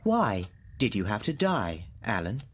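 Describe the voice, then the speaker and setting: An actor playing an emotionless thinking computer in a radio drama, with formal, literal, clipped phrasing. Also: male voice, fairly low pitch, huge pitch swings, a little slow, husky, full character voice. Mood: factual recital.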